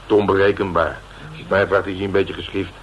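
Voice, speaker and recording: An actor playing a gruff, streetwise veteran police detective, blunt and domineering in colloquial radio drama dialogue. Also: male voice, low-pitched, level tone, fast. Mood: scornful. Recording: dull, noisy old radio recording.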